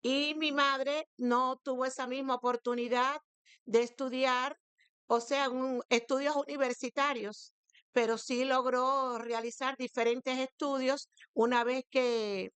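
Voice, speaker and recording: A woman in her sixties with a Cuban accent, answering interview questions as a guest in a formal, halting way. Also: sits high, steady tone, a bit bright, smooth, no room sound. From Santiago de Cuba, eastern Cuba. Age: 60s. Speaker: guest, teacher and education academic. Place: radio studio.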